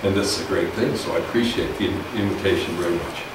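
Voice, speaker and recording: Loud older man speaking from a podium. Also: deep voice, fast. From US (Idaho). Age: 60s. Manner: loud, folksy and reminiscing. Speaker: guest speaker, government teacher. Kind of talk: informal speech to a small audience. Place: small meeting room, indoors. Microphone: podium mic, heard from afar. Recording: echoey room, distant camera mic, hiss.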